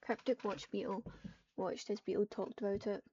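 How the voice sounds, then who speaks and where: A woman narrating an online roleplay game in halting, storybook-style phrases, switching between description and character dialogue. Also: mid-range voice, steady tone, warm tone, slight background noise. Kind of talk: live roleplay narration mixed with acted dialogue. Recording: muffled lo-fi mic, distant.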